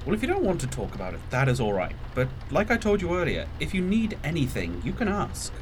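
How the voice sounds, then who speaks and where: A polite formal train gentleman, super up-and-down intonation, reassuring, bit of noise behind, audio drama. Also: fairly high pitch, huge pitch swings, fast talker. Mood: concerned. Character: courteous, reassuring gentleman attendant on a time-travelling train.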